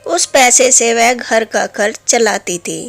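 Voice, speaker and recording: Slow, high-voiced woman telling a fairy tale. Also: creaky, soft background music. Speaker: storyteller. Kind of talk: scripted fairy tale narration for children.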